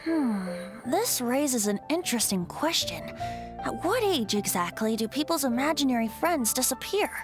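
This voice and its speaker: A woman's voice: sits high, sing-song, moderate pace. A woman voicing a playful, whimsical young male bard in game dialogue, light and teasing. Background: game music.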